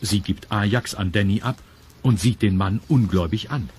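A man's voice: on the low side, moderate pace, darkish tone, a little hiss or hum. A man narrating a children's audio drama in a scripted storytelling style. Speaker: narrator of a children's radio play.

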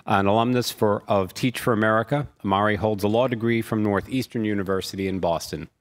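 A man's voice: lowish voice, brisk. An older man acting as moderator, reading a speaker's introduction from a sheet at a podium in a conference hall. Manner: reads from a sheet, steady and formal. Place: conference hall, indoors, large. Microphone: podium mic.

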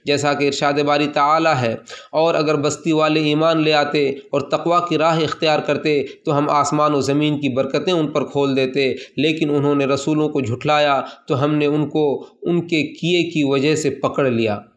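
A man reading a translated Friday sermon aloud in a formal, steady recitation, addressing the listeners as servants of God. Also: a little high, moderate pace.

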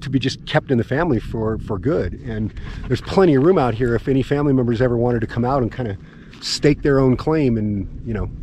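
A man in his forties walking his desert land and talking casually to a handheld camera, with a relaxed, rambling manner full of fillers. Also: mid-range voice, a little hiss or hum. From California. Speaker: homestead vlogger. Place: outdoors on open high desert land. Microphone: camera held at arm's length.

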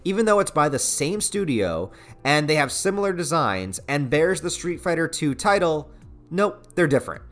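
A man's voice: fairly high pitch, very animated, moderate pace, faint music behind. North American man narrating a casual video review. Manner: casual, sarcastic asides, mild swearing. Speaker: video essayist, channel host. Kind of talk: scripted review narration, conversational.

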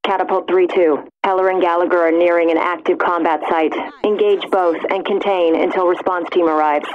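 A woman's voice: on the low side, steady tone, brisk. Composed female dispatcher over thin radio. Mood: composed, official. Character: clipped, composed female military command dispatcher. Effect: military radio filter. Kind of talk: military radio chatter from a video game. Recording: thin, phone-like radio transmission.

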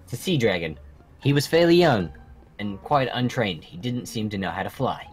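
A man's voice: on the low side, animated, moderate pace, lightly acted. A man voicing a stern, protective dragon guardian who instructs young fighters solemnly and formally in a Minecraft roleplay. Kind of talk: improvised Minecraft fantasy roleplay. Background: music.

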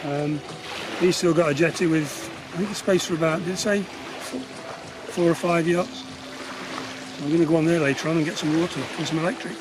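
A man's voice: fairly high pitch, fairly even intonation, a little fast, pauses often, music in the background. A man chatting casually about sailing conditions while aboard a sailboat at sea. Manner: chatty, matter-of-fact sailing commentary. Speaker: vlogger, sailor. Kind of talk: casual chat. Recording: wind and water noise.

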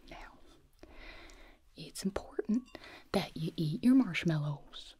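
A gushing, doting elf mother fusses over her guest in a hushed whisper, voiced by a woman. The delivery is slow and unhurried, sitting slightly low. It is close-miked, with a faint hiss underneath. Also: lively intonation. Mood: fussing. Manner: soft whisper, fussing and gushing. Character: doting chatty elf mother, fussy and sweet. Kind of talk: whispered ASMR roleplay.

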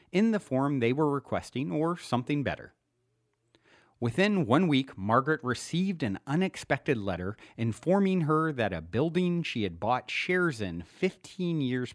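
Expressive man narrating a self-help audiobook. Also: medium pitch, no room sound, studio quality. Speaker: podcast host reading his own self-help book. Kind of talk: scripted audiobook narration, guided instruction.

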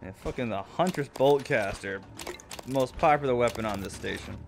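A man's voice: medium pitch, normal speed, vocal fry, slight background noise. A man giving casual, jokey and sometimes sweary commentary while playing a video game with a friend.

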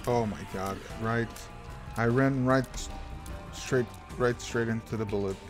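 Slow-talking man, rambling. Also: mid-range voice. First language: French. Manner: rambling, self-deprecating, frequent restarts. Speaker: gaming channel host. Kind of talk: casual gameplay commentary. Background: game music.

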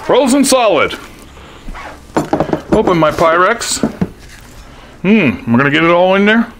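A man's voice: high voice, very animated, measured pace, noisy background. A man in his sixties giving a chatty, upbeat cooking demonstration in his home kitchen, chuckling often, on a lapel mic. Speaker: cooking vlogger. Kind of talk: casual cooking demo. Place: home kitchen, then pickup truck.

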